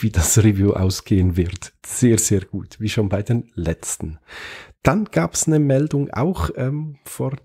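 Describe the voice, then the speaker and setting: A man's voice: fairly low pitch, warm tone, breathy edge. A man hosting an informal solo tech podcast in a rambling, conversational way, with a nasal, stuffed-up voice from a blocked nose. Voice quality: nasal, congested. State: blocked nose from pollen allergy, lingering cough. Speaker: podcast host.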